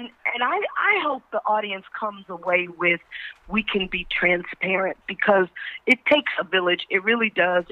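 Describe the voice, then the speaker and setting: A woman's voice: medium pitch, dry recording. A woman speaking earnestly and emphatically as an actress guest in a conversational interview. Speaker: stage actress, panel guest. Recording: muffled phone-like radio line.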